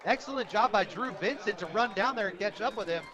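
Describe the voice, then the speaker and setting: An American man doing live radio play-by-play of a football game in an energetic, conversational way. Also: sits high, fairly quick, music in the background. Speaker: radio play-by-play sports announcer. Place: outdoors at a high school football game. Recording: outdoor stadium broadcast with crowd noise. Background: crowd at a stadium.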